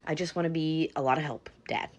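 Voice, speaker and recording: A woman's voice: deep voice, steady tone, fast talker. A woman voicing a scheming, evasive young adult daughter who talks in valley-girl fillers. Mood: eager.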